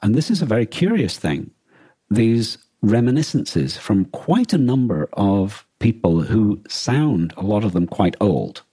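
A man co-hosting a podcast in casual discussion, halting often as he searches for words. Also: on the low side, sing-song, dark tone, husky, no room sound. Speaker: podcast co-host. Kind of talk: casual discussion of a radio drama.

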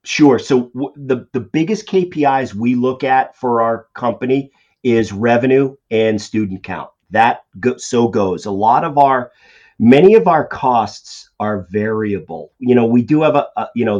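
A man's voice: mid-range voice, a little mellow. A middle-aged man with a Boston accent, a finance executive answering interview questions in a conversational way with many ums and restarts. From Boston area. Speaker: CFO guest. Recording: dull lo-fi audio.

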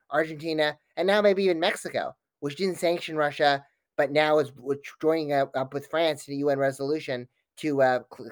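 A man's voice: mid-range voice, no echo. A man speaking as an expert guest in an interview, giving analytical, wordy answers with frequent false starts.

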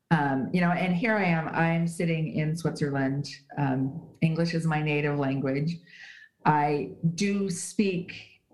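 A woman's voice: on the low side, echoey room, rough recording. A woman, an HR writer, speaking as an interview guest, talking emphatically and explaining with examples. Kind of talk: conversational interview. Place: at home in Switzerland.

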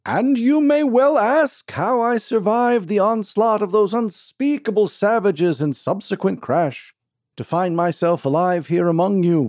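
Booming pompous medicine-show doctor. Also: male voice, darkish tone, dry recording, studio quality. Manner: grandiose, drawn-out oratory. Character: pompous bellowing middle-aged male snake-oil doctor.

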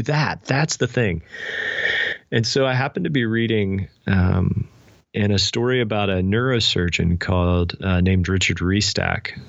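A man's voice: deep voice, dry recording. A man speaking as an interview guest, explaining ideas in a thoughtful, conversational way with illustrative asides. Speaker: guest author and pastor. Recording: dull, lo-fi, dry sound.

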